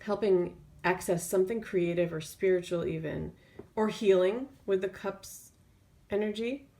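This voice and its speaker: A woman tarot reader speaking off the cuff in an intuitive reading, feeling her way through phrases with drawn-out pauses. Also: medium pitch, creaky, dark tone.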